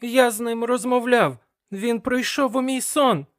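A man voicing an anxious, excitable young apprentice magician, insistent and easily alarmed. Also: a little slow. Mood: alarmed, excited. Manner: high-pitched, breathless alarm, insistent questioning.

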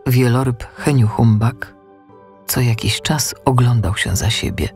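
A man narrating a scripted children's audiobook with expressive storytelling. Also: mid-range voice, unhurried, soft background music.

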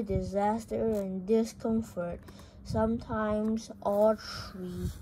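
A high-voiced reader reading a comic story aloud haltingly, stumbling over words, in an amateur home recording. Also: mellow, a little hiss or hum, rough recording. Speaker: video host reading a book aloud.

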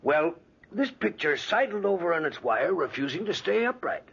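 A man's voice: high voice, sing-song, normal speed, a bit bright, husky, exaggerated voice. An actor playing a chatty older male visitor who rambles through superstitious stories in an old radio drama. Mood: rambling. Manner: rambling, chuckling storyteller. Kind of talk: 1940s radio acting. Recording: dull lo-fi old radio recording.

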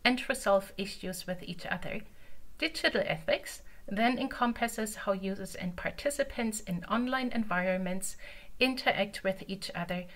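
A woman's voice: mid-range voice, normal speed. German-accented woman giving an online talk. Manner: conversational, many filler ums. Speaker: conference presenter. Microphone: video call.

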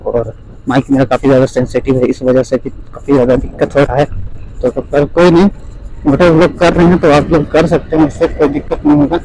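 Higher-pitched man, casual vlogger. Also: level tone, a little fast, mellow, a little hiss or hum, low-quality recording. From India. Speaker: motovlogger. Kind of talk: casual vlog demonstration.

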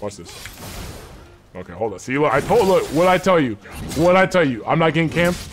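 Cocky young man gaming, animated delivery. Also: on the high side, a little fast, faint music behind. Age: 20s. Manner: cocky, slangy trash talk. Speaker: streamer playing as a trolling student. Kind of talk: casual gaming banter. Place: small indoor room. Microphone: large desk microphone up close.

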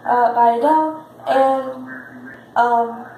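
A woman's voice: sits high, steady tone, takes it slowly, warm tone, reverberant. A girl hosting a toy video channel, with a stuffy nose and a scratchy voice, chatting casually with lots of fillers and singing without backing. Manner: rambling, filler-heavy chatter. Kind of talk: casual vlog chat and a cappella singing.

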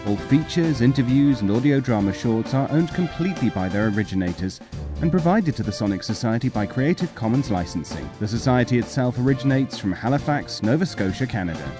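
A man reading a scripted closing announcement for a podcast in a lively announcer manner. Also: medium pitch, fairly quick. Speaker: show announcer. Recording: announcement over clear music.

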